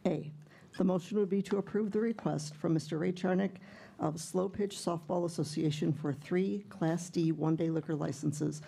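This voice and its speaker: Low, creaky woman reading formally. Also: dark tone. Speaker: village clerk. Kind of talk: formal reading of agenda and roll call. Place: municipal board meeting hall.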